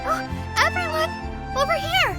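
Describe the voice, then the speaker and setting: A woman's voice: very high-pitched, normal speed, over music. A woman playing a kind, eager-to-help young female healer in an anime game, sweet, polite and reassuring. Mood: cheerful.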